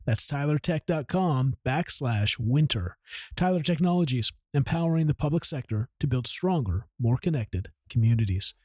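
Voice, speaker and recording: A man hosting a podcast about local government, reading a polished, earnest intro and then chatting warmly with his guest. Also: mid-range voice, expressive, normal speed, no room sound, clean studio sound. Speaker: podcast host. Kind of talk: scripted intro then conversational interview.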